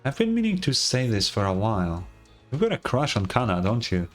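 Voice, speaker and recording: A man playing a calm, reassuring young man in a game, easygoing and gently teasing in manner. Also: fairly low pitch, fairly quick, soft background music.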